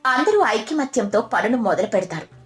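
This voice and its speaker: A woman with a fairly high voice narrates a scripted moral story. Faint music plays behind her reading. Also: big pitch swings, moderate pace.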